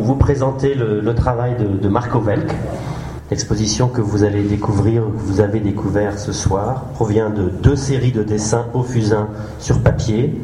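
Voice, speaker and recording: A man giving a formal welcome speech at an exhibition opening, with hesitations and repeated words. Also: lowish voice. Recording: echoey hall with background noise.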